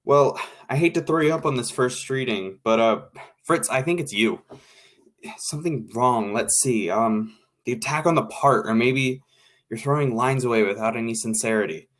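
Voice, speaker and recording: An actor playing a tactful but fussy radio director, hesitant and repeating himself, in an old-time radio drama. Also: male voice, mid-range voice, normal speed, a bit bright, lightly acted. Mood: tactful dissatisfaction.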